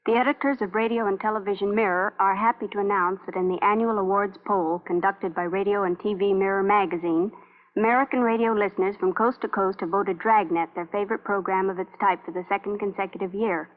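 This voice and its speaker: A woman's voice: mid-range voice, steady tone, a little fast. A woman giving a scripted award announcement as a magazine representative, in a formal read-out manner. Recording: narrow-band old radio recording.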